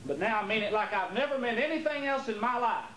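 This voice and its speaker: A troubled young nephew in a stage drama, acted by a man, loyal and protective of his uncle. His pitch moves animatedly. The sound is an echoey rehearsal tape from a theater. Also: animated, quick pace, fairly bright tone. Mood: troubled, indignant. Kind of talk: amateur stage play acting. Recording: roomy, noisy 1980s theater rehearsal tape.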